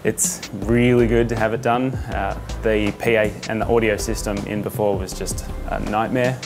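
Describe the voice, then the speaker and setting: A man's voice: fairly low pitch, fairly even intonation, moderate pace, over music. A man in his thirties giving an interview testimonial on a lapel mic. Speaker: audio visual lead. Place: bright indoor stadium room.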